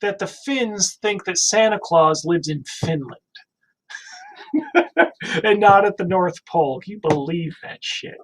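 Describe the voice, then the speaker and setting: A man's voice: high-pitched, big pitch swings, takes it slowly, long pauses, low-quality recording. A man hosting a casual livestream, blunt, joking and given to swearing. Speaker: livestream host.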